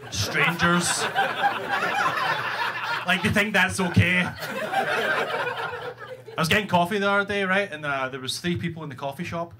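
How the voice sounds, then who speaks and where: High-pitched Glaswegian stand-up comic, a man. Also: very animated, a little slow, pauses often. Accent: Glaswegian Scottish. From Glasgow, Scotland. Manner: sweary, blunt, punchy with rhetorical "right?". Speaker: stand-up comedian. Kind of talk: stand-up comedy routine. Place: live comedy venue before an audience. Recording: live club recording, audience noise.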